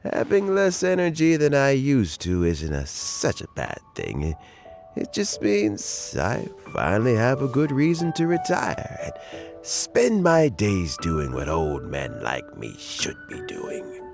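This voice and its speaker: An actor playing an elderly, kindly mentor official in a video game, speaking in a gentle, reflective and deliberate way. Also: male voice, on the low side, wildly swooping pitch, music in the background, full character voice. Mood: amused, resigned. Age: older. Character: elderly kindly mentor official nearing retirement, fond of fishing. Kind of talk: video game dialogue acting.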